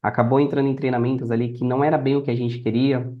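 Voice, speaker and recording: A man's voice: medium pitch, level tone, quick pace, phone-quality sound. A Brazilian man telling his own story casually as a guest on a podcast. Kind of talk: casual personal storytelling in conversation.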